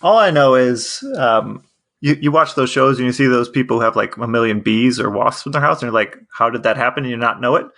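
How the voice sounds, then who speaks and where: A man's voice: mid-range voice, fairly quick, creaky, fairly bright tone. A man co-hosting a podcast, telling things in a casual, chatty way. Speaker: podcast co-host. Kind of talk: casual storytelling chat.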